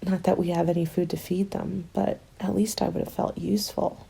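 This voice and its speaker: A woman plays a weary female survivor holed up through a zombie winter. Her voice is lowish and very husky, with a creaky edge. She confides softly and intimately, in the manner of an ASMR roleplay. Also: fairly even intonation, moderate pace, exaggerated voice. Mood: weary, restless. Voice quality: soft, breathy, husky. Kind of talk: soft-spoken ASMR roleplay monologue.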